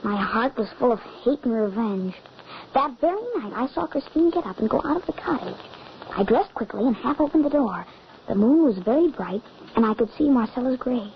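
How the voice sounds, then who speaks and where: A woman's voice: on the high side, lively intonation, fairly quick. A woman playing a frightened, vengeful young boy in an old-time radio drama, with breathless, melodramatic delivery. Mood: haunted, grave. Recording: dull, noisy old radio recording.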